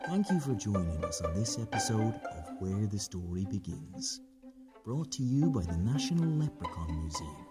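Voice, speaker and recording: A woman's voice: a bass voice, big pitch swings, moderate pace. A woman reading a scripted podcast announcement as the show's announcer. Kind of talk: scripted podcast sign-off narration. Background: loud plucked instrumental music.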